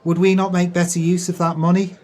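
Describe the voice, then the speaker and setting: A man's voice: sits high, little pitch movement, brisk, a little mellow, natural voice. An actor playing a jealous, callous male swindler in an audio drama, sneering and self-pitying with grandiose, wordy speech. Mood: resentful, bitter.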